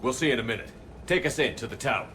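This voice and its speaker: An actor playing a steady military commander in a video game, speaking with terse authority. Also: male voice, mid-range voice, racing, heavy background noise, lightly acted. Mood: firm. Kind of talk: video game cutscene acting.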